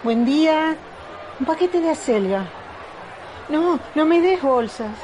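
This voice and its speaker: A woman's voice: high-pitched, expressive, takes it slowly, a little mellow, slight background noise, low-quality recording. An Argentine woman playing a plain, practical lady out shopping in a radio play. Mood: matter-of-fact.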